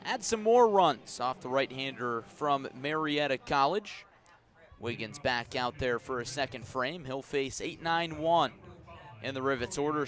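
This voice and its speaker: An American man doing live radio play-by-play of a baseball game, measured in his narration and raising his voice for big plays. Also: medium pitch, a bit bright. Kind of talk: live sports commentary.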